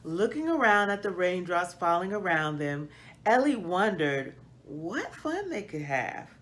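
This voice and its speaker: Slow-paced woman reading to children. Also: lowish voice, sing-song, fairly bright tone. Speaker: host of a children's book read-aloud. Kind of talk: expressive children's storybook reading. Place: small plain indoor room.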